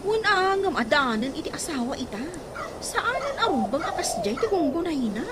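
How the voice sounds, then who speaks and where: A woman's voice: sits high, big pitch swings, normal speed. A woman playing a nosy, judgmental village gossip in a radio comedy drama, with an Ilocano accent. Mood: disapproving. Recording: loud background noise, animal sounds.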